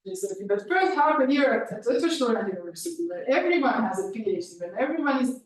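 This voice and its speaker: A woman whose first language is Hungarian, presenting her research and answering questions, often pausing with fillers and restarting. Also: fairly high pitch, animated. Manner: hesitant, many fillers and restarts. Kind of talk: academic talk and Q&A. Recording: echoey room, speaker far from mic, faint noise.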